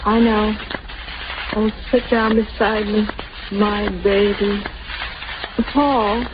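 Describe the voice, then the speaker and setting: An actress playing a frail, anxious mother of a young soldier in a tender, tearful, halting 1930s radio style. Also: medium pitch, little pitch movement, close to an everyday voice. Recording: noisy, muffled 1930s radio broadcast.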